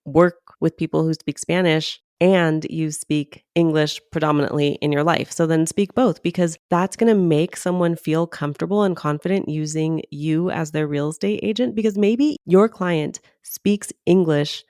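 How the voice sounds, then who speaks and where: A woman's voice: sits low, moderate pace, hardly any pauses, a little mellow, no echo, studio quality. A woman co-hosting a podcast, giving advice in a conversational, explanatory way that leans on "like" and "right?". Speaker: podcast co-host.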